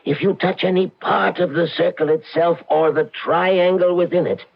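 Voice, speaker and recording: An actor playing a pedantic, condescending Satanist with a pompous manner in a radio mystery drama. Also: male voice, high voice, steady tone, creaky. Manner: pompous, measured. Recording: dull old radio recording.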